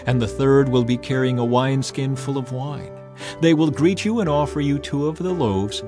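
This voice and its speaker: A man's voice: mid-range voice, lightly acted. A man voicing commanding old prophet Samuel. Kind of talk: dramatized scripture reading.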